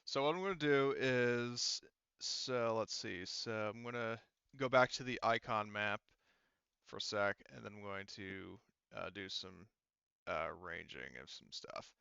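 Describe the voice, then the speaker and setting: A man acting as game master in a casual tabletop roleplaying session, narrating and organizing in a halting way as he thinks aloud. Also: lowish voice, gravelly, bright, forceful tone, clean tone, no room sound, muffled recording.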